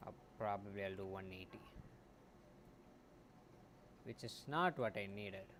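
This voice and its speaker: A man streaming as a game developer, thinking aloud while he codes, often muttering and trailing off into soft asides, on a quiet home mic. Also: unhurried. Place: home room. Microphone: desktop mic. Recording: faint background noise, low home mic.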